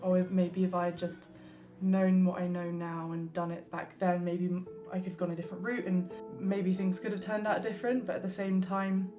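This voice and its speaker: Flat-toned young woman from Surrey. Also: on the low side, normal speed, over music. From Surrey, England. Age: 20s. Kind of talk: documentary interview.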